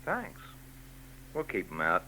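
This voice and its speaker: A hard-nosed homicide lieutenant from an old radio detective drama. His low voice is bright and forceful, and it rises and falls freely as he fires off short, skeptical questions. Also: huge pitch swings, slow, slight background noise, full character voice, low-quality recording. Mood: knowing. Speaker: police lieutenant. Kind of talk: 1940s radio acting.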